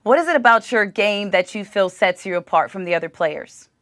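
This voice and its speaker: A woman asking an athlete interview questions in a measured way. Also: medium pitch, lively intonation, a bit bright, dry recording, clean studio sound.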